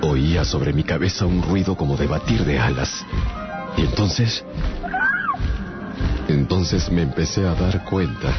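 A man's voice: rumbling low, measured pace, pauses often, muffled recording, over loud music. A man playing a melancholic traveler who narrates his own adventures in a dramatized radio story. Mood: grave, suspenseful. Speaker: narrator and lead actor.

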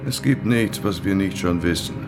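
A man playing a cold, deep Soviet prosecutor. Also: moderate pace, loud music behind the voice, lightly acted. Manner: cold, clipped, contemptuous. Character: cold, cynical male Soviet state prosecutor. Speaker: state prosecutor. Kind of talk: radio drama acting.

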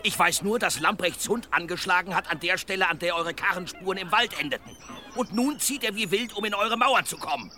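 A man in a German radio drama playing a gruff, demanding village blacksmith and worried father, brusque and impatient. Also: high voice, brisk, sharp tone. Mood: suspicious, urgent.

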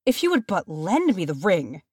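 A woman's voice: fairly low pitch, wildly swooping pitch, normal speed, a little mellow, breathy edge, dry recording, clean studio sound, heavily acted. A woman voicing a proud male warrior in a fantasy story, bitter and menacing, with a snarling, hissing delivery. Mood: bitter pleading.

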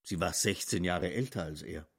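A man with a husky voice narrating a literary radio play. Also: deep voice, normal speed.

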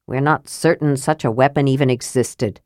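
A woman's voice: a bass voice, steady tone, normal speed, a little mellow, no echo, clean studio sound, voice pushed down. A woman narrator voicing a cautious, practical male half-elf leader in a fantasy audiobook, weary and reasonable. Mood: firm reasoning.

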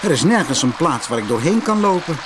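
Man playing an anxious, animated young boy. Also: fairly high pitch, fairly quick, background noise. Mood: worried. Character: polite, worried young boy on a quest.

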